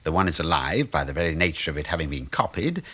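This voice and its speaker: An actor in a radio play voicing a cheeky, breezy middle-aged British suburban husband who teases and muses like a know-all. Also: male voice, rumbling low, sing-song, fast talker, sharp tone, husky, full character voice. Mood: musing, opinionated. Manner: breezy, teasing, musing.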